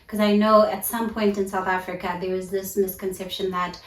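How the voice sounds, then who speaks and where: A woman's voice: medium pitch, fairly quick, echoey room, distant mic, a little hiss or hum, low-quality recording. A young woman who hosts her own channel and works as a clinical psychologist, chatting casually and telling stories with lots of laughter. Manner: warm, animated storytelling, lots of laughter.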